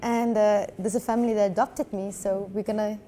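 A woman's voice: medium pitch, steady tone, fairly quick, mellow. A woman in her thirties from South Africa, chatting dreamily in an interview and laughing often, in a studio lounge. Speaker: musician guest. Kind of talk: relaxed interview chat.

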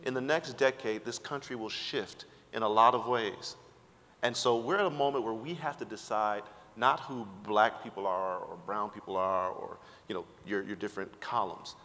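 A man's voice: on the low side, moderate pace, sharp tone, big-room sound. A man speaking emphatically as a conference panelist, using rhetorical questions. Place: conference stage with studio backdrop.

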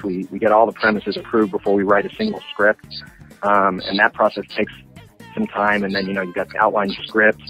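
A man's voice: on the low side, a bit bright, poor audio. Explanatory man in interview over music. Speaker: animation writer-producer being interviewed.

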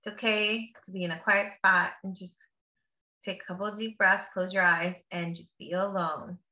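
A woman reading a picture book aloud to children in a teacherly, encouraging way, with drawn-out emphasis and simple explanatory asides. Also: mid-range voice, steady tone, bright, forceful tone. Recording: roomy echo, slightly dull sound.

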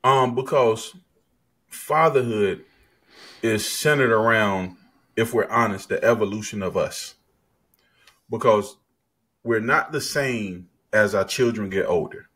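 Husky guy hosting a podcast on a headset, kinda low voice, chill and jokey. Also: takes it slowly, pauses often, a bit bright. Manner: laid-back, teasing, lots of backchannel. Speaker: podcast host. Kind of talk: casual podcast conversation. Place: home studio, indoors. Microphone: headset on video call.

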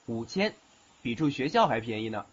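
Guy acting cool brusque college guy, really varied pitch, audio drama, music under, bit muffled. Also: big pitch swings, moderate pace, slightly rough. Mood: casual. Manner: curt, cool, threatening when annoyed. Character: brusque young male student, ghost-seeing exorcist. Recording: dull lo-fi audio, background music.

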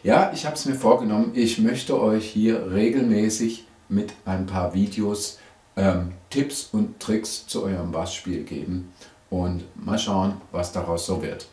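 A middle-aged bass teacher with a low, cold-roughened hoarse voice talks straight to camera. He keeps a chatty, explaining tone, peppered with "ja?" and "ähm". The studio adds a noticeable roomy echo. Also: steady tone, a little slow, a little mellow. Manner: chatty, frequent "ja?" and "ähm" fillers. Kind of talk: video lesson, direct to camera.

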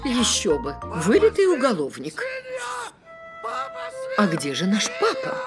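A woman's voice: mid-range voice, huge pitch swings, slow, shouting. A woman voicing a haughty, sardonic pig mother and politician's wife in a satirical cartoon, with theatrical delivery. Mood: scornful. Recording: music behind the voice.

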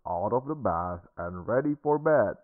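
A storybook dad talking to his young child, acted out by a man. The voice is low and lively in pitch, recorded dry and close. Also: a little slow, no room sound, phone-quality sound. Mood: parental. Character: father calling to his young daughter.

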